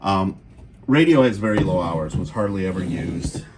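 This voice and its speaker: A man giving a casual, unscripted product demo as a seller, speaking plainly and hesitantly with frequent pauses. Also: low voice, expressive. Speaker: auction seller demonstrating radio gear. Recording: clear background noise, home video.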